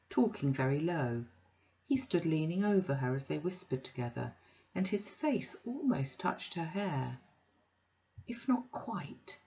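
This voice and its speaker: Low gravelly woman reading a classic. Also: lo-fi sound. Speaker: audiobook reader. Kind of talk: reading a classic novel aloud.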